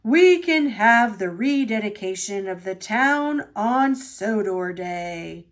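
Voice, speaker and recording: Woman acting male railway boss, stately, authoritative, formal, slow measured delivery, storybook character. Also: mid-range voice, expressive. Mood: firm, approving.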